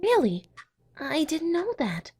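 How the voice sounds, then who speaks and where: A woman's voice: high voice, wildly swooping pitch, slow, a little mellow, faint music behind, heavily acted. A woman voicing an eager, bookish young female cartoon pony with an earnest, wordy, apologetic manner in a comic dub.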